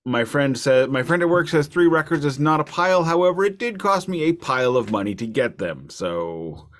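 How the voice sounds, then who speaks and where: Man with lively, swooping intonation chatting casually. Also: fairly high pitch, normal speed. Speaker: podcast host. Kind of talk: casual music chat.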